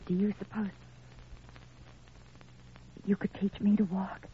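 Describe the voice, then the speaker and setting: A woman's voice: medium pitch, little pitch movement, slow pace, a little hiss or hum, close to an everyday voice. An actress playing a proud, fragile young female patient, tremulous and melodramatic in old-time radio drama style. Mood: timid, hopeful. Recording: tinny, band-limited old radio recording.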